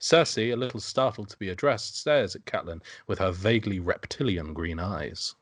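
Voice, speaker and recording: A man's voice: fairly low pitch, lively intonation, moderate pace, dry recording. A man narrating stage directions from a script at an amateur table read.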